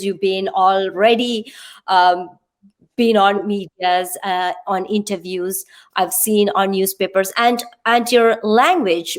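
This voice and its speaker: A woman hosting a conversational, motivational talk show, searching for words and repeating herself as she asks questions. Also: medium pitch.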